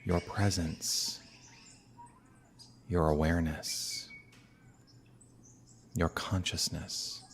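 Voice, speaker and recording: A man speaking as a minister leading a guided meditation, calm and unhurried, with long soothing pauses. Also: deep voice, vocal fry. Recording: birdsong and outdoor ambient noise behind. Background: birdsong.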